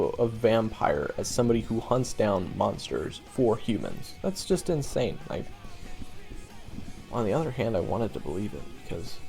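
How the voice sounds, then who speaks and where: A man playing a young monster-hunting swordsman in an audio roleplay, talking casually and haltingly, wry and wounded, with self-deprecating asides. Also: on the low side, vocal fry, mellow. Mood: bemused, fond. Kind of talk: conversational audio roleplay monologue.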